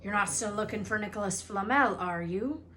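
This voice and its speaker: A woman voicing a gruff, shifty giant gamekeeper man from a storybook, with rustic, scolding speech. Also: mid-range voice, moderate pace, fairly bright tone, natural voice. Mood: shifty, suspicious.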